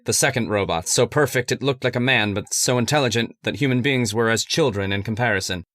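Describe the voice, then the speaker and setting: A man playing a megalomaniac robot passing as human in an old-time radio horror drama, deliberate and grandiose. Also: medium pitch, fairly bright tone, no echo, studio quality, close to an everyday voice. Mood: menacing, triumphant. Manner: deliberate, grandiose villainous pronouncements. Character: megalomaniac robot disguised as a man, menacing and coolly certain.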